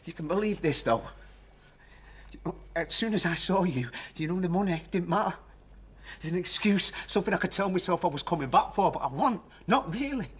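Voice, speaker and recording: An actor playing a volatile, pleading estranged husband in a radio drama, agitated and insistent. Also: male voice, sits high, expressive, brisk, fairly bright tone, breathy edge, full character voice. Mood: pleading, tender.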